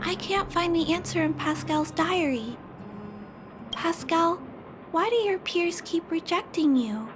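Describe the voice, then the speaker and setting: A woman voicing a gentle, earnest young girl who speaks softly and politely, in a game cutscene with music. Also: very high voice, expressive, a little slow.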